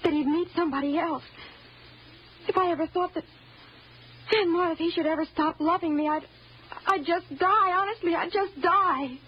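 Lovestruck twenty-year-old girl, high voice, melodramatic, halting, old radio drama, dull sound with faint noise. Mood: anxious, lovestruck. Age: young adult.

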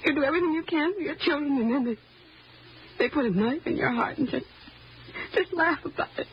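A woman's voice: high-pitched, fairly quick, slight background noise. An actress playing a devoted, sentimental middle-aged wife and mother, earnest and theatrical, in old-time radio drama style. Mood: hurt, bitter. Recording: dull old radio recording, music.

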